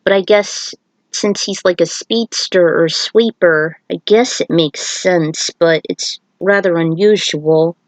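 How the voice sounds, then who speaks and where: A woman's voice: fairly low pitch, slow pace, dry recording. A woman narrating a story in a casual read-aloud style, breaking off for informal, offhand asides. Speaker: fan fiction reader and author.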